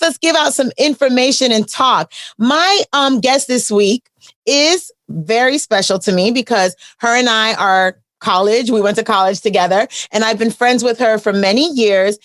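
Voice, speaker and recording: A woman's voice: a little high, no echo. A middle-aged woman hosting a Sunday morning radio community show, upbeat and chatty with a faith-filled tone. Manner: upbeat, chatty, churchy warm-up talk. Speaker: radio host. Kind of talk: radio interview. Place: radio studio.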